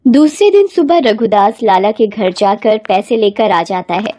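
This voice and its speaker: A woman's voice: mid-range voice, lively intonation, unhurried, dark tone, no room sound. A woman narrating a scripted moral bedtime story as its storyteller.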